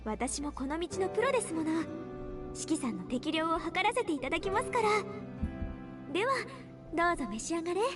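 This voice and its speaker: A sunny young housemaid from a visual novel. Her voice sits extremely high and hurries along very fast with cheerful, singsong courtesy. Game music plays loudly behind her. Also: sing-song. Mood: bright, reassuring. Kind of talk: Japanese game voice acting. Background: loud game music.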